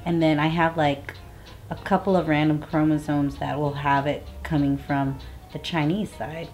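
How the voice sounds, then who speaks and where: The woman on a couple's video blog, low-voiced and teasing, chatting and reading things out over a soft music bed. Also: flat delivery, moderate pace. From Vietnamese family. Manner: playful, teasing. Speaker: vlog co-host. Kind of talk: casual chat, reading results aloud.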